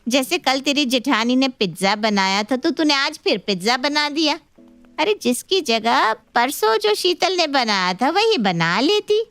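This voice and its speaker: A woman's voice: high voice, sing-song, a little slow, a bit bright, no room sound, faint music behind, heavily acted. A woman playing a bossy, scolding mother who coaches her grown daughter in a story. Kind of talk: animated story dialogue.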